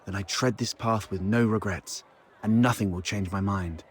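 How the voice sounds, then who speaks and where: A man voicing a calm, shrewd, cautious ally in a video game, speaking in a measured, reflective way. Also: fairly low pitch, slight background noise, close to an everyday voice. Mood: calm, earnest.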